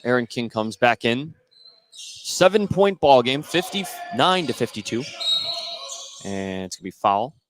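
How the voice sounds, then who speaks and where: A man's voice: medium pitch, sing-song, a little slow, pauses often, crowd noise. A man calling live basketball play-by-play for a radio broadcast. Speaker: play-by-play announcer. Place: indoor basketball gym, live game. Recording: noisy live gym broadcast.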